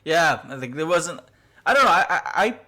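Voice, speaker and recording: A man's voice: a little high, sing-song, normal speed, bright, forceful tone. A man hosting a podcast in casual chat, talking loosely with lots of fillers and frequent laughs. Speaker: podcast host.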